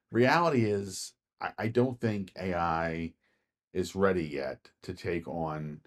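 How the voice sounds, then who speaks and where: A man's voice: deep voice, a little mellow. An American man co-hosting a podcast, explaining his views in a plain-spoken, assertive, casually analytical way. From Philadelphia, United States. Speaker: podcast co-host.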